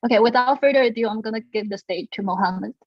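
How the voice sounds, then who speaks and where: Brisk woman host, dull lo-fi sound. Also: fairly high pitch, no echo. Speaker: colloquium host introducing speakers. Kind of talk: event introduction over live stream.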